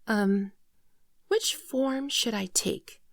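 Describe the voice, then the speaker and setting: A woman playing a soft, unhurried, gentle alien girl. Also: on the high side, no echo, studio quality. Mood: shy, grateful. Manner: soft-spoken, often whispery, hesitant, tender. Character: gentle shapeshifting female alien, naive, earnest, shyly affectionate. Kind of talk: ASMR roleplay acting. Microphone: close microphone, dry sound.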